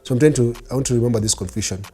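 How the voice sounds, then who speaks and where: A man's voice: mid-range voice, very fast, warm tone, soft background music. A man in his forties with a Kenyan accent and Swahili as his first language, telling his life story conversationally as an interview guest. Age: 40s.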